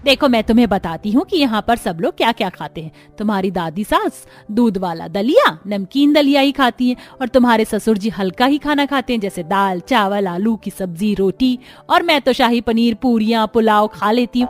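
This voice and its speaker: A woman voicing a bossy, demanding middle-aged mother-in-law in an animated family story, brisk and dismissive. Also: on the high side, close to an everyday voice.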